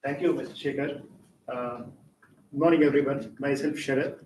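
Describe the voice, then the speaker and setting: Guy introducing himself, nervous, lots of uh and um, echoey hall, far from mic, faint rumble. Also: steady tone, moderate pace, a little mellow. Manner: nervous, filler-heavy. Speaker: guest. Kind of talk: self-introduction at club meeting.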